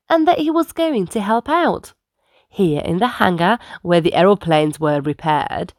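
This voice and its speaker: A woman narrates a children's story with expressive emphasis. Her voice sits a little low and moves through a wide, lively range, recorded close and dry. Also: dry recording. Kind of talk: children's read-aloud narration.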